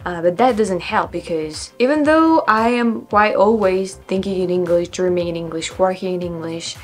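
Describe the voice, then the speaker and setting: Young french woman vlogging, french accent, repeats english words over and over trying to get them right, laughs a lot, bit of music behind. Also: on the low side. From France. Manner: thinks aloud, repeats words slowly to try pronunciations, laughs often. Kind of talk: casual reaction vlog.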